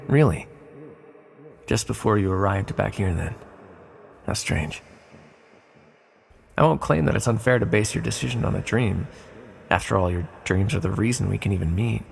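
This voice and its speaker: A man playing an ancient sealed villain in an ASMR roleplay, speaking hesitantly and courteously in gentle, secretive persuasion with a gravelly voice. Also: lowish voice, normal speed, pauses often, slight background noise. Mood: gently probing.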